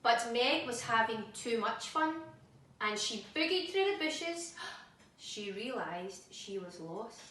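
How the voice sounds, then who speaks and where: In a very echoey room, a woman reads a children's story at some distance from the mic. She takes her time, pausing and gasping for drama, in an expressive storyteller's delivery. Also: a little high. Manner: dramatic, with audible gasps and pauses. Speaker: storybook reader.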